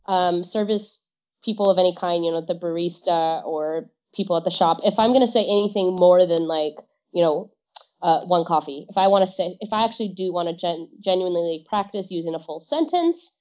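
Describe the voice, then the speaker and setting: A woman's voice: on the low side, fairly quick, a little mellow. Chatty woman guest, laughs easily, loose rambling delivery, dull lo-fi sound. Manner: chatty, laughs often, trails off. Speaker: English teacher. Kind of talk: casual podcast chat. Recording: dull lo-fi audio.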